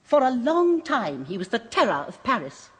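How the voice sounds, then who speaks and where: An actress playing a composed woman who runs a waxworks museum, speaking briskly and precisely, in a vintage radio drama. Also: fairly high pitch, big pitch swings, breathy edge. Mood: cool. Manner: brisk, precise, faintly macabre relish. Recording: muffled old radio broadcast.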